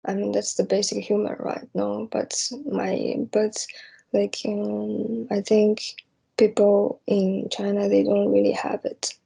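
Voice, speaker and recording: A young woman from East Asia speaking off the cuff and reflectively, hesitant and halting as she searches for words. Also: medium pitch, completely flat delivery, creaky, dark tone, husky, poor audio. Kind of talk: unscripted spoken reflection on personal hopes.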